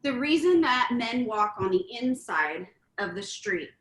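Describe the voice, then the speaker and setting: A woman's voice: on the high side, expressive, a little slow. A middle-aged woman co-hosting a relationship talk show, earnest and explaining her point at length with examples. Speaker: podcast co-host. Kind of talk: casual chat. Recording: echoey room, faint ambience.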